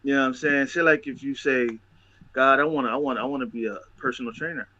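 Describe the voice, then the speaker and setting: A man hosting a casual video-call chat, talking in an animated, repetitive way about faith. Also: mid-range voice, fast, dull audio. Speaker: podcast host.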